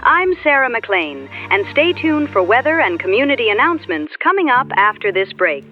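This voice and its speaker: An actress playing an upbeat small-town local radio news anchor, with an American accent and polished chirpy delivery. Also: female voice, sits high, sing-song, moderate pace. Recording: dull radio broadcast, music behind.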